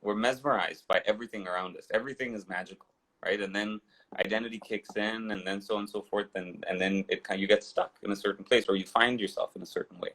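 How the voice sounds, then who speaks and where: Deep-voiced man, rambling storyteller. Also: fairly even intonation, quick pace, a bit bright, low-quality recording. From Dubai and India. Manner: digressive, rambling with frequent restarts. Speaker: guest. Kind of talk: casual storytelling interview.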